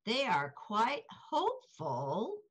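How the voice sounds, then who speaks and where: A woman's voice: on the high side, huge pitch swings, slow, husky. An older woman reading scripted narration over a video call. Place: small indoor room, on video call. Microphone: video call, close to laptop.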